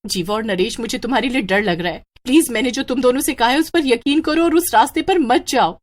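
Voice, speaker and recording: A woman's voice: on the high side, a little fast, pitched above the performer's own voice, lightly acted. A woman voicing an earnest, emotional young working woman in a horror story. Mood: worried. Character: upset, earnest young working woman who met ghosts. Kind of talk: Hindi horror story dialogue.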